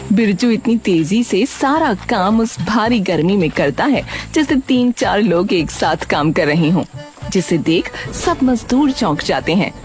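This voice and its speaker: A woman narrating a children's cartoon story in a steady, descriptive way. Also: medium pitch, a little slow, soft background music.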